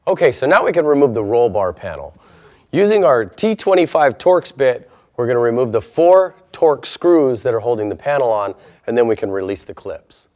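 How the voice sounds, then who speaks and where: A man's voice: medium pitch, lively intonation, moderate pace, a little mellow, no room sound. An American man presenting a step-by-step installation tutorial in an instructional style.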